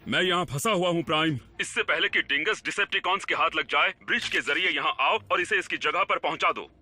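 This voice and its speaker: High-pitched, blustery government agent. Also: male voice, brisk, sharp tone, soft background music. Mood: pressing. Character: brash, grumbling human government agent, middle-aged man. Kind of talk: animated series dub acting.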